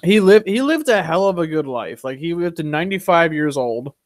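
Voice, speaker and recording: A young man chatting casually, voicing firm opinions in quick bursts with frequent restarts. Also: fairly high pitch, expressive, dry recording, studio quality. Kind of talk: casual chat.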